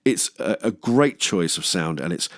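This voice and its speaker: A man's voice: deep voice, animated, measured pace, noisy background. A man hosting a film review show, moving between scripted analysis and wry, sweary, joking asides. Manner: wry, joking, sweary, acts out imagined quotes.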